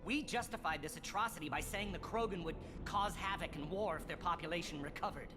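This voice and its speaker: A man acts a disillusioned young-protégé type, an alien scientist in a video game full of bitter zeal. He argues in articulate, accusatory sentences with real passion. Background music runs clearly underneath. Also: high-pitched, brisk, natural voice. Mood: impassioned. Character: bitter, zealous alien scientist, disillusioned former student, male.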